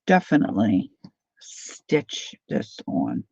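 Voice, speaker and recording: Slow, gravelly woman with a Philadelphia accent. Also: a bass voice, wildly swooping pitch, darkish tone, no echo. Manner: chatty and rambling, reads out viewer comments. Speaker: craft channel host. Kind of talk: casual livestream craft chat. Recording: dull lo-fi livestream audio.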